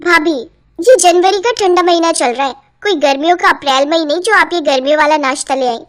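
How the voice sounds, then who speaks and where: A woman's voice: very high-pitched, a little fast, a bit bright. A woman voicing a lazy, whiny young sister-in-law in a cartoon, protesting and making excuses. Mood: complaining.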